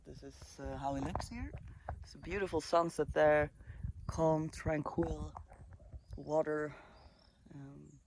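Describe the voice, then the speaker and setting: Brief: late-twenties man from Sweden, travel vlogger, slightly higher voice, relaxed and musing, filming on his phone outdoors. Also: gravelly, slight background noise, low-quality recording. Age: late 20s. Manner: relaxed, musing, with fillers and pauses. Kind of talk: casual vlog monologue. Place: countryside caravan site.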